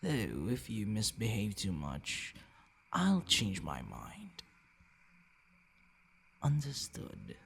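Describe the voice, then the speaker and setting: A man playing a possessive, menacing vampire in an intimate ASMR roleplay, murmuring and whispering in a gravelly, commanding, condescending way. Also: huge pitch swings, drawn-out words, long pauses, a bit bright, heavily acted. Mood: menacing, coaxing. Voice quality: gravelly, breathy low murmur dropping into whispers. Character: possessive, menacing male vampire toying with his captive.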